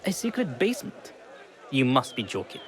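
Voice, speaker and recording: A man's voice: medium pitch, huge pitch swings, normal speed. A man playing a dismissive, weary and corruptible clerk in a video game, with faint crowd noise behind.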